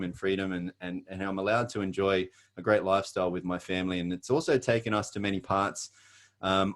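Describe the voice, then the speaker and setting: A middle-aged Australian man giving an earnest motivational presentation, pausing often with fillers and restarts. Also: low-pitched.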